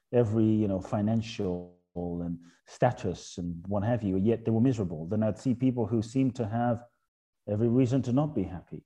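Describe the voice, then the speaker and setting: A middle-aged man from Ghana, a guest author speaking in a thoughtful, halting way about spiritual ideas on a podcast. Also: lowish voice, warm tone, husky. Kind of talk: reflective podcast conversation.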